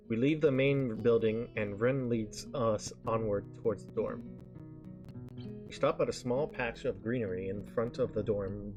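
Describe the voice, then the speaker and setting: A man with a mid-pitched voice reads a visual novel's narration aloud. He reads haltingly, tripping on words and correcting himself. Soft guitar music plays behind him. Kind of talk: live read-aloud of narration. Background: game background music with guitar.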